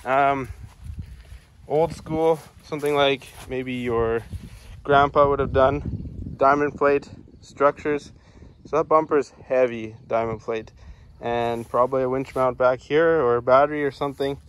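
A man in his forties hosting a casual hobby vlog, talking viewers through his work in a relaxed, chatty way from a sunroom at home. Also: medium pitch, unhurried, slight background noise. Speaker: vlog host. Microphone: camera at a distance.

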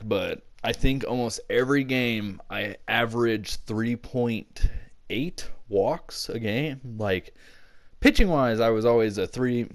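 Low-voiced young man, rambling casually. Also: clear, resonant tone. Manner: rambling, self-interrupting. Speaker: video channel host. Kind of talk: casual storytelling vlog.

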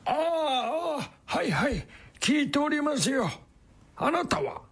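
An actor playing a kindly, chatty old distillery worker in a radio drama, with a husky, creaky voice and folksy old-fashioned speech. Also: male voice, very high voice, very animated, unhurried, a bit bright, heavily acted. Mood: polite, obliging. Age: older. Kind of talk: Japanese radio drama acting.

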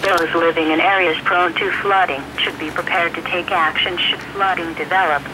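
Higher-pitched synthetic man on noisy radio. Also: fairly even intonation. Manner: formal, measured, synthetic-sounding reading. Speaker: weather radio announcer. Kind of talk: scripted weather watch bulletin. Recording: noisy weather radio speaker, re-recorded in room.

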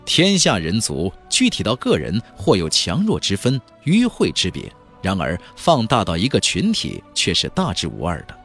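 A man's voice: deep voice, wildly swooping pitch, normal speed, few pauses, fairly bright tone. A man narrating a fantasy novel as an audiobook, with a theatrical, emphatic storytelling delivery. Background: background music.

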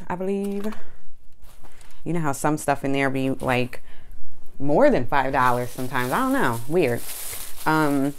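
A woman in her thirties chatting casually in a home vlog, relaxed and rambling, with frequent "um" and "y'all", recorded on a lapel mic. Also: rumbling low, measured pace, pauses often. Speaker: vlogger and candle shop owner. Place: small home room with shelves. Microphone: clip-on lapel mic.

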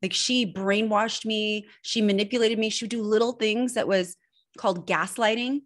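A woman's voice: medium pitch, steady tone, normal speed. A woman in her thirties with an American accent, speaking candidly and expressively as an interview guest in a conversational, storytelling style. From Northern California, United States. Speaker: guest, personal branding image expert. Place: indoor room at home. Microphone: video call microphone.

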